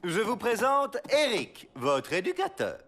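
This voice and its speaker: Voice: mid-range voice, wildly swooping pitch, unhurried, a bit bright, full character voice. An actor playing a fussy, flustered old prison director in a cartoon, pompous and whiny. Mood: flustered, officious. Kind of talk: animated cartoon acting.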